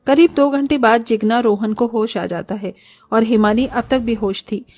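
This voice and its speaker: A woman's voice: fairly high pitch, moderate pace, a little mellow, no room sound. A woman narrating a scripted children's cartoon story as storyteller. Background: faint music.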